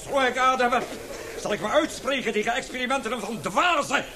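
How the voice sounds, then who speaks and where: A man's voice: very high-pitched, fairly quick, background noise. An actor in a Dutch radio play voicing an indignant, obsessive older male professor who declaims and lectures earnestly. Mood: indignant, defiant. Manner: declamatory, hesitant when flustered, lecturing.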